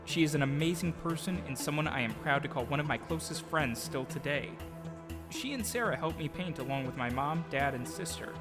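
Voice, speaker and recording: A man's voice: on the high side, lively intonation, fairly quick, over music. A man telling his own story as scripted audio drama narration, performing as the storyteller. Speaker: storyteller acting as himself.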